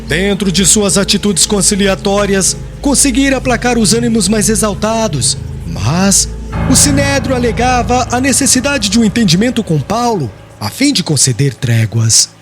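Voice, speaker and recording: A man narrates a religious story in a high voice that moves widely up and down. His reading is solemn and carefully phrased, in formal literary style. Music plays clearly behind him throughout. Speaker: audiobook narrator. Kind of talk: scripted audiobook narration of a religious novel.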